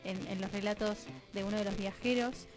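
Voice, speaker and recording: A woman with an Argentine accent explaining things conversationally as an interview guest, halting and correcting herself as she goes. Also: mid-range voice, fairly even intonation. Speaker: interview guest, radio drama scriptwriter. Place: radio studio. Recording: music clearly playing behind voice.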